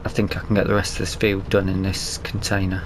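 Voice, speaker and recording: A man's voice: low-pitched, completely flat delivery, fast talker, background noise. A British man giving casual let's play commentary, musing aloud to himself as he goes. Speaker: gaming YouTuber.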